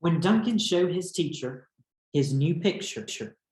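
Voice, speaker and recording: A man's voice: on the high side, lively intonation, unhurried, a little mellow. A man speaking as a teacher in a read-aloud lesson, explaining and questioning for young pupils. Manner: instructive, addressing young pupils. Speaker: teacher reading a picture book aloud. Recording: echoey room.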